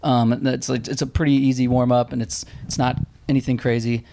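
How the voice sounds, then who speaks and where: Fast-talking laid-back male rock singer. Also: medium pitch, level tone, creaky, warm tone, a little hiss or hum. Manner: laid-back, blunt, swears casually. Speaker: band vocalist. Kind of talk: casual podcast chat.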